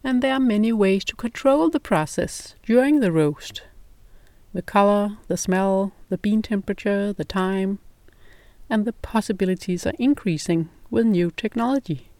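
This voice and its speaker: A middle-aged woman with a Danish accent narrates her podcast in calm, measured English, her voice at an ordinary middle pitch. The recording is very dry and close, with no room sound around her. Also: no room sound. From Denmark. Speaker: podcast host.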